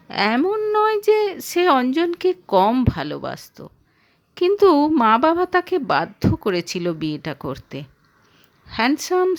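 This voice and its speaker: High-pitched, animated woman storyteller, slow pace. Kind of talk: scripted dramatic audio story narration.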